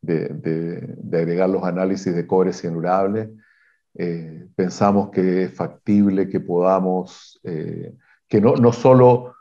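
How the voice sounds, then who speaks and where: Deep, warm-toned male executive, measured and technical, hesitant restarts, muffled lo-fi call audio. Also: fairly even intonation, gravelly. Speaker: mining company exploration executive. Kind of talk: interview answers on a video call.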